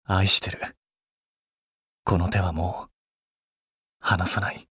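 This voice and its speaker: Casting: young male game idol, low husky voice, restrained, earnest, blunt, easily embarrassed. Very dry sound. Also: little pitch movement, takes it slowly, sharp tone, dry recording, heavily acted. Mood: solemn, tender. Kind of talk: Japanese mobile game voice acting.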